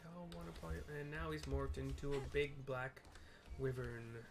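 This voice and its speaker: A man talks through a video game he is playing, in a casual and slangy style. His voice sits at a middling pitch, and his manner is playful and informal, like a gamer commentating for viewers. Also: a little slow, gravelly. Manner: playful, slangy, breaks into singing and sound effects. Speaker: gamer narrating own playthrough. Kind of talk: casual gameplay commentary with read-aloud dialogue.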